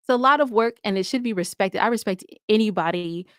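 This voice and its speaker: A woman's voice: medium pitch, fast, clear, resonant tone, no room sound, studio quality. A woman talking casually as an interview guest, emphatic and given to repeating points for stress. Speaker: interview guest, audio drama podcaster.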